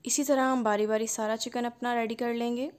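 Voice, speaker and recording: Lady doing a cooking voice-over, kinda high voice, calm step by step. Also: a little fast, clean tone. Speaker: cooking channel host. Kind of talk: recipe voice-over narration.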